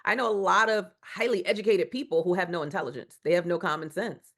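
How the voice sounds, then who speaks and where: A woman's voice: fairly low pitch, fast. A woman guest in a conversational interview, talking earnestly and emphatically, building points through repeated phrases. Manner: earnest, emphatic, preacher-like repetition. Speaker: guest speaker.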